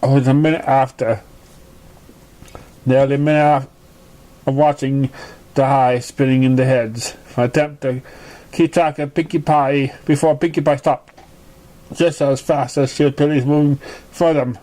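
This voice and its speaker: A man reading a story aloud as narration, halting and often stumbling over the words. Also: mid-range voice, fairly even intonation, mellow. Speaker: amateur fan fiction reader.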